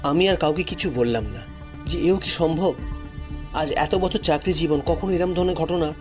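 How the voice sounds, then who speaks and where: A man's voice: on the high side, normal speed, over music. A man narrating a story dramatically in the first person, as a storyteller.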